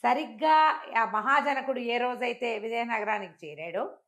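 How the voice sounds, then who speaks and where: An older woman telling a traditional moral story in a conversational interview, acting out the characters' speech with lively jumps in voice. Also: unhurried, gravelly. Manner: animated, acts out quoted speech with big pitch jumps.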